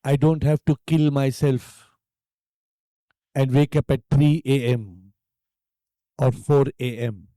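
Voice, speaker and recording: An Indian-accented man leading a guided meditation as a teacher, speaking calmly and deliberately with long pauses. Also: mid-range voice, no room sound, clean studio sound. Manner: calm, hushed, deliberate phrasing with long pauses.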